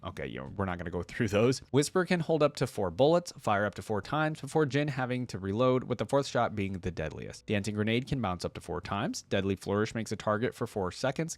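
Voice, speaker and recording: The man you'd hear on a fan's reaction channel, reading a wiki out loud in a dry, close room and chatting through his thoughts. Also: lowish voice, no echo. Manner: casual asides and musing aloud. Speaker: reaction video host. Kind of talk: reading wiki text aloud with casual commentary.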